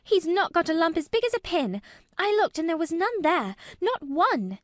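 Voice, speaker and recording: A woman's voice: high-pitched, big pitch swings, unhurried, no echo, clean studio sound, voice pushed up. A woman voicing a fiercely loyal, indignant young girl in a storybook, urgent and emphatic. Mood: fierce indignation.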